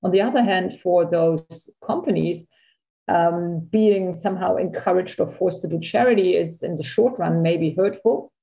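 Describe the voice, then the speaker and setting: A woman's voice: lowish voice, moderate pace, vocal fry, warm tone, muffled recording. A woman explaining her view spontaneously as an interviewee.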